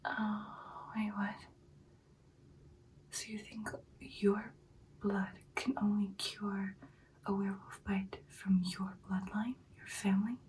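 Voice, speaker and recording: A woman playing an ancient, caring female vampire in a whispered ASMR roleplay, speaking in soft, breathy, hesitant phrases. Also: mid-range voice, level tone, slow pace, long pauses, far from the mic, slight background noise, close to an everyday voice, low-quality recording. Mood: concerned, puzzled.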